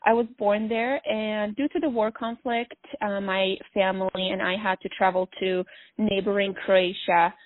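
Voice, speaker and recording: A woman's voice: mid-range voice, level tone, normal speed, dry recording. Earnest woman from Bosnia calling in, thin phone-line sound. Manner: earnest, reflective, with frequent fillers. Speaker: podcast guest. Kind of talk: phone interview. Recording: dry tinny phone line.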